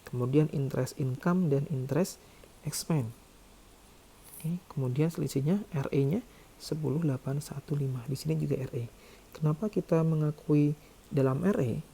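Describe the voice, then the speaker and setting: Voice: mid-range voice, moderate pace, vocal fry, dark tone, slightly rough. An accounting lecturer explaining calculations step by step in a didactic way. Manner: didactic, checks with "ya" and "oke".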